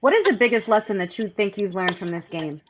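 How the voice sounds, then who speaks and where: Female host, medium pitch, conversational, reflective, earnest; audibly noisy virtual-call sound. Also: lively intonation, quick pace. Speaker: radio show host, interviewer. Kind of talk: conversational interview. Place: remote virtual interview.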